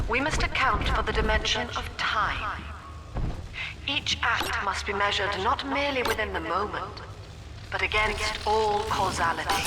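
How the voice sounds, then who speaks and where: A woman's voice: medium pitch, lightly acted. A woman playing a cold, cerebral ideologue in a game, delivering a detached philosophical lecture. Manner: measured, didactic, detached. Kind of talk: scripted philosophical monologue.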